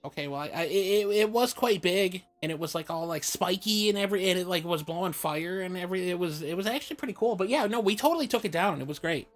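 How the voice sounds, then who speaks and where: A woman's voice: lowish voice, fast, hardly any pauses, natural voice. Stammering, easygoing young adventurer played by a woman. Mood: rambling pride. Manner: stammering, hesitant, conversational. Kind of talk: improvised comedic fan dub.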